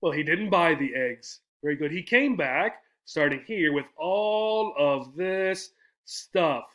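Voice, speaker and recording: Higher-voiced man teaching an English lesson. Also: animated, slow, fairly bright tone. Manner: didactic, checking understanding with questions and tags like right and okay. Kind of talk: explanatory English lesson with poem reading.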